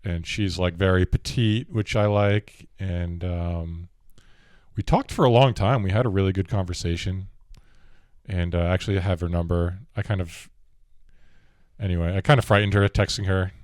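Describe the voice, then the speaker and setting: A young man with a deep voice, a podcast host telling a rambling story in casual chat and laughing often. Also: steady tone, normal speed, a little mellow, dry recording, studio quality.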